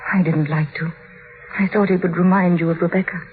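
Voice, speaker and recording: Anxious devoted young wife, played by an actress, old radio. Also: female voice, fairly low pitch, fairly even intonation, a little fast, close to an everyday voice. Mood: hesitant. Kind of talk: old radio drama acting. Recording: muffled, noisy vintage radio recording.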